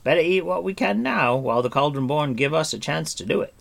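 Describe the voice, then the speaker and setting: A man's voice: on the high side, expressive, fairly quick, vocal fry, voice pushed up. A man voicing a hearty, boastful wandering bard in a storybook reading. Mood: blustering. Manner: hearty, exclamatory.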